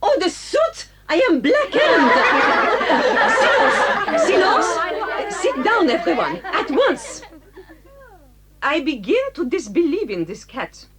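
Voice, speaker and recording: French schoolmistress, children's radio play, high voice, very animated, stern, excitable, halting English, French accent. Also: female voice, over a noisy crowd, very noisy, heavily acted. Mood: indignant, flustered. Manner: commanding, theatrical, halting in English. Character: strict, excitable, easily exasperated French schoolmistress. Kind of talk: 1980s children's audio dramatisation acting.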